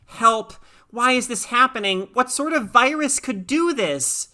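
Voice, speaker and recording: A woman's voice: on the high side, animated, a little slow, bright, forceful tone, pitched above the performer's own voice. A woman voicing an anxious, bewildered girl in a story, with a plaintive, worried manner. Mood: alarmed. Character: anxious sickly girl, bewildered and self-doubting.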